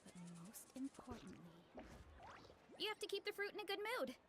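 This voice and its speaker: A woman voicing an energetic, hearty young girl in a video game, chirpy and earnest. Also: very high voice, moderate pace, slight background noise, heavily acted. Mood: upbeat, eager. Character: energetic, hearty young girl who loves food, training and orchards. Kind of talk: scripted video game character acting. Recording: clean voice under loud game music. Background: game music.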